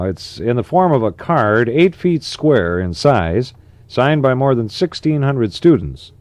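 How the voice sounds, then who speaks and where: A man's voice: on the low side, a little mellow, no echo. An American man working as a 1960s AM radio DJ, reading announcements in a conversational announcer style with short asides. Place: radio studio.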